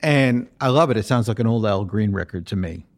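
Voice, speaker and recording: A man's voice: fairly low pitch, expressive, a little fast. Chatty middle-aged male interviewer. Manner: chatty, warm compliments with frequent ums. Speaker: radio interviewer.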